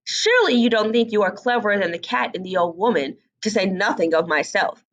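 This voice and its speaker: A woman's voice: mid-range voice, expressive, fairly quick, dull audio, close to an everyday voice. A woman voicing a bossy, conceited hen in a storybook, scolding and lecturing with haughty emphasis. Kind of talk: storybook read-aloud character voice.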